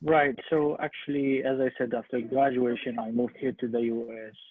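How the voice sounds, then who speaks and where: A young man with a Syrian Arabic accent answering interview questions as a physician, with husky, halting speech and repeated words. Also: medium pitch, level tone. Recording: muffled phone-like internet call, echoey room.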